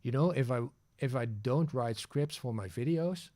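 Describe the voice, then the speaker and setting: A Dutch man hosts a relaxed livestream tutorial, explaining in English as he works through his screen. He thinks aloud with plenty of fillers and self-corrections, and his voice sits at an ordinary male pitch. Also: normal speed. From Netherlands. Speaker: livestream host. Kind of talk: casual screen-share tutorial.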